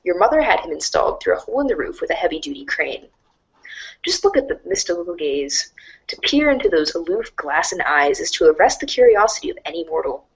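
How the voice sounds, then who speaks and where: Woman doing a low, gravelly storybook narrator. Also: very animated, fairly quick, poor audio. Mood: mock-grand. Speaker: narrator of a fan readers' theater show. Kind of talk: read-along storybook narration, dramatic reading.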